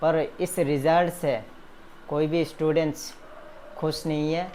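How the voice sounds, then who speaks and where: A man giving spoken commentary to students in a halting, earnest way, phrase by phrase. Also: fairly high pitch, fairly even intonation, a little mellow, low-quality recording. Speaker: education vlogger addressing exam students. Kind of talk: spoken news commentary to viewers. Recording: noticeable background ambient noise.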